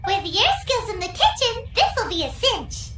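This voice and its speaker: A tiny, very high-pitched fairy girl from an anime game, bubbly and greedy for food. The delivery swoops and squeals in an unhurried way. The sound is echoey, with noise around it. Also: sing-song. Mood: cheerful, encouraging. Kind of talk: anime-style video game voice acting. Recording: echoey, noisy game capture.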